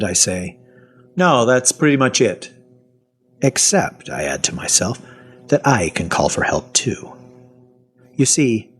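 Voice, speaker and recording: A sly, zombie-like prisoner telling his own story in an audio drama. His folksy voice leaps dramatically up and down in pitch. Music plays underneath. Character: captive zombie-like man, sly, pleading, folksy. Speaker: narrator and lead character. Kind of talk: first-person audio fiction narration. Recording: music behind the voice.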